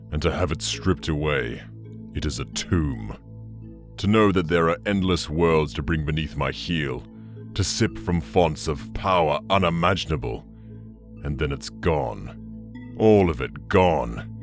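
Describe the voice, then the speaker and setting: An actor playing an ancient, bitter demon conqueror in an audio drama, brooding and contemptuous in a menacing monologue. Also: male voice, a bass voice, animated, faint music behind, full character voice. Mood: anguished bitterness. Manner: slow, menacing, brooding delivery.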